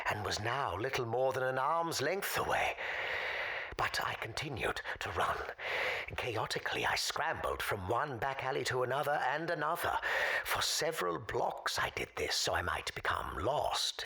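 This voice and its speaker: A woman playing an elderly, weary, wily former spy in an audio drama, with a hoarse, half-whispered rasp and formal, deliberate storytelling. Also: a bass voice, bright, forceful tone, exaggerated voice, poor audio. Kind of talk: dramatic monologue in audio fiction.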